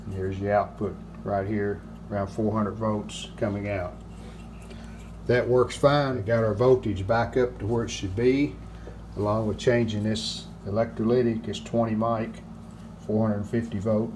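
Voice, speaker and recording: An older man giving a hands-on repair walkthrough in plain-spoken, halting phrases. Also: on the low side, steady tone, noisy background.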